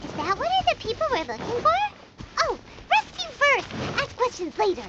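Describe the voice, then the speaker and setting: A woman voicing a tiny, peppy and bossy girl companion in a video game, bubbly and excitable. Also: very high-pitched, normal speed, dull audio, full character voice. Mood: eager. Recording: loud game noise behind.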